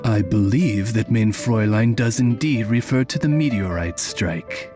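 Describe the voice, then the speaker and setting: A man voicing a courteous, gentlemanly talking raven familiar in a video game, suave and refined. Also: fairly low pitch, a little slow, lightly acted. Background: game music.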